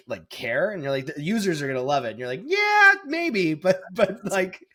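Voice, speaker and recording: A man interviewing in a casual, chatty style, informal and halting in how he puts his thoughts. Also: sits high, very animated, bright, forceful tone. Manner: informal, halting, acts out imagined dialogue.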